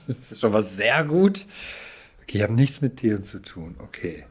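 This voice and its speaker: A man's voice: on the low side, very animated, moderate pace, husky. A man playing a guessing game with a friend, thinking aloud with hesitant fillers and laughing. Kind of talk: casual game chat.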